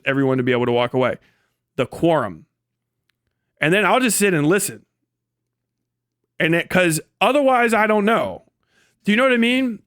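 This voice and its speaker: A man livestreaming a casual, rambling monologue, thinking out loud in an animated way and swearing freely. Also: fairly high pitch, smooth, dry recording, studio quality. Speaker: livestream host.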